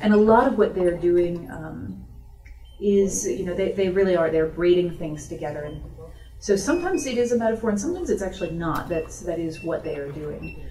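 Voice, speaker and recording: A woman's voice: on the low side, expressive, normal speed, warm tone. A woman author answering audience questions at a book event in an informal, explanatory, conversational way. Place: bookstore event room. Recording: roomy echo, distant microphone.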